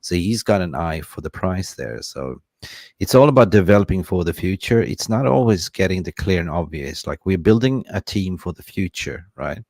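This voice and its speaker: A man's voice: deep voice, expressive, moderate pace, a little mellow, no room sound, studio quality. A man co-hosting a football talk show, passionate and emphatic, pushing his opinions in punchy phrases.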